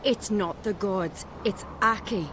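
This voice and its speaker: A woman's voice: medium pitch, slow pace, background noise, lightly acted. An actress playing an earnest, headstrong young island noblewoman in a fantasy video game, speaking frankly like a storyteller. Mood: earnest, convinced. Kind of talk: video game cutscene acting. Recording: music behind the voice.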